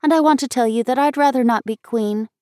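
A woman's voice: high voice, expressive, normal speed, no room sound, clean studio sound, voice pushed up. A woman voicing an earnest young schoolgirl with a petulant streak in a storybook reading, eager and emphatic. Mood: earnest, hesitant.

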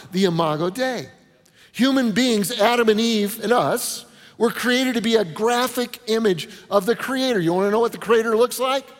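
High-voiced male preacher in a reverberant hall. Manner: rhetorical questions, punchy short phrases, informal asides. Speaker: pastor preaching a sermon. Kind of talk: conversational sermon to a congregation. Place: large indoor hall before an audience. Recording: reverberant hall with long echo.

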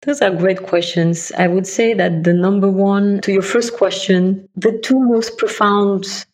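A middle-aged woman from Bulgaria, a guest in an interview, answering in a reflective, measured way and laying out her points one by one. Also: medium pitch, steady tone, darkish tone. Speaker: guest, investment partner.

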